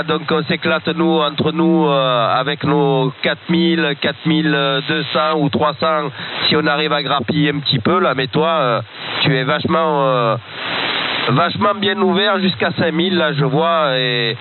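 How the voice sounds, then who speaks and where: A man's voice: on the high side, a little slow. A man chatting casually in choppy phrases as an amateur radio operator over a shortwave link. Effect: two-way shortwave radio transmission. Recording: muffled narrow radio link with hiss.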